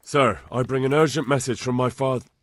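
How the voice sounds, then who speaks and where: A man acting an earnest, respectful young squire in a medieval video game, with a husky voice. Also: mid-range voice, fairly even intonation, moderate pace, no room sound, lightly acted. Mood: urgent, reporting.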